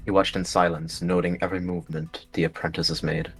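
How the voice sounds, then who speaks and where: A man's voice: sits low, little pitch movement, brisk, vocal fry, fairly bright tone, husky, soft background music. A man narrating his character's actions in a descriptive roleplay game.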